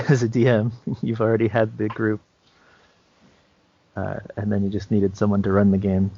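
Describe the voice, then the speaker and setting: A man's voice: lowish voice, creaky, darkish tone, no room sound, lo-fi sound. A man co-hosting a podcast, explaining things casually in a hesitant, easygoing way. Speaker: podcast co-host.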